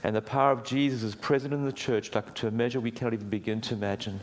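A middle-aged man preaching a sermon in a church, with a booming voice and an earnest, conversational delivery full of small restarts. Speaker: guest preacher. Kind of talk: sermon with prayer. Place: church hall.

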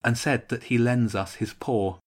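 Storybook boy, read by a man: wary, incredulous, questioning, a little husky. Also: mid-range voice, fairly even intonation, a little slow, lightly acted.

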